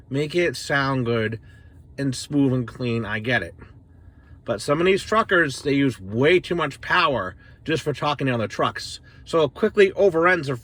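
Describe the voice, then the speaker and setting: A man's voice: mid-range voice, a bit bright. A middle-aged American man giving a casual, off-the-cuff gear demonstration in a plain-spoken, rambling, opinionated way. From northern United States. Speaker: CB radio enthusiast reviewing gear. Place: inside a parked car.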